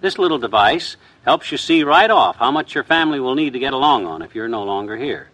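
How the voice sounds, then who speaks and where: An actor playing a folksy, easygoing husband in an old-time radio sponsor skit. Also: male voice, mid-range voice, expressive, a little fast, a bit bright, rough recording. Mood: folksy, sincere. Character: folksy, easygoing husband pitching life insurance.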